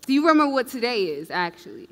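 A woman's voice: a little high, big pitch swings, fast talker, a bit bright. A young woman playing an eager, doting girlfriend in a stage comedy, recorded from a distance. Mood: coy, expectant. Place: indoor theatre stage, far camera.

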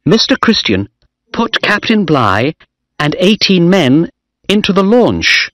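A frightened young naval officer stammers out his answers in a raised, earnest voice, as a male narrator puts him on. Each reply is measured and unhurried, with a very dry, close sound. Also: high-pitched, big pitch swings, a bit bright, no echo, pitched above the performer's own voice, heavily acted. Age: young adult. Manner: earnest, hesitant.

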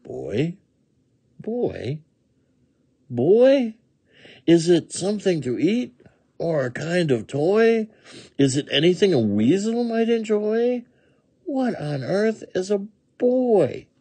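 A sly storybook weasel, acted out by a man, scornful and sneering. The voice is a bit rough and husky, with big swings in pitch. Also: big pitch swings, slow pace, pauses often, dark tone, no echo, exaggerated voice. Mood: mocking, puzzled. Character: sly, scornful male weasel with a scary smile.

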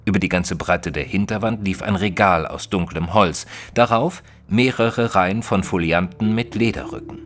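An actor playing an earnest detective's companion, narrating an audio drama in a measured, literary way. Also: male voice, sits low, a bit bright. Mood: measured, curious.

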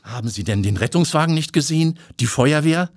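A man's voice: fairly high pitch, expressive, brisk, no room sound, clean studio sound, pitched above the performer's own voice. A man voicing a curious, persistent young woman reporter in an audiobook. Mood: questioning. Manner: inquisitive, persistent.

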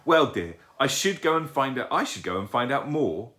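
A man plays a sleepy mother owl in a children's story. She sounds mild and sympathetic, with animated intonation. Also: mid-range voice, moderate pace, voice pushed up. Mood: mild, encouraging.

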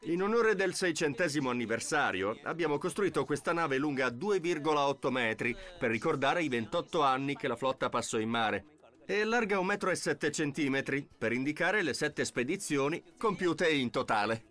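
A man's voice: a little high, lively intonation, normal speed, a bit bright, soft background music. A man voicing an interviewee in a documentary dub. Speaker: voice-over for an interviewee.

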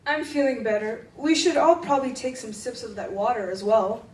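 A woman's voice: fairly high pitch, moderate pace, a little mellow, natural voice. A teenage girl playing an anxious, polite young hiker in a school radio drama on an echoey stage. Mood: calmer. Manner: nervous. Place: indoor theatre stage. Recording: echoey, noisy hall, distant microphone.

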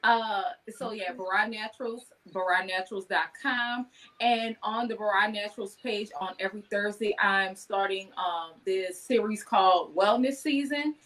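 A woman's voice: mid-range voice, moderate pace, a bit bright. A woman in her thirties chatting casually as a guest on a video call, talking about her own work. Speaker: guest, wellness business owner. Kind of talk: casual chat with self-promotion. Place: indoor room on a video call.

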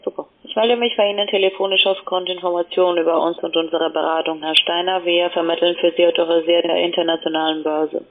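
A woman working as a call centre agent, delivering a scripted sales pitch over the phone. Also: fairly even intonation, fast, hardly any pauses. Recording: tinny radio-like phone line.

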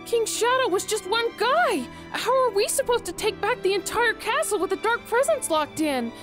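A woman voicing a young, earnest, easily flustered female echidna guardian in an animated comic dub. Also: very high voice, normal speed, music in the background. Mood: panicked.

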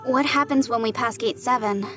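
A woman's voice: on the high side, moderate pace, music in the background, lightly acted. An actress playing a nervous young woman in a horror audio drama, a loyal friend who talks in questions. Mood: questioning.

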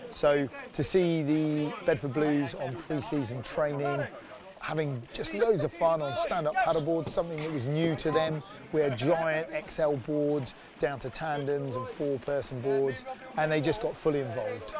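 A man in his forties, an outdoor activity company director, talking enthusiastically in a promotional interview outdoors in a sunny woodland, on a lapel mic. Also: on the high side. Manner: enthusiastic, upbeat.

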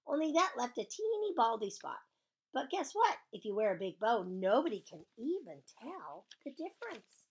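A woman voicing a chatty, bossy, excitable kindergarten girl who tells her own story in childlike, emphatic speech for a children's audiobook. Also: a little high, normal speed, husky, voice pushed up, heavily acted. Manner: childlike grammar, emphatic, dramatic. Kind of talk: first-person children's audiobook narration.

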